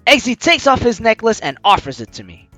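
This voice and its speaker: A man's voice: extremely high pitch, a little fast, dry recording. A man hosting a let's play, expressively reading game text aloud and commenting, on a close mic with music behind. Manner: expressive, acts out lines as he reads.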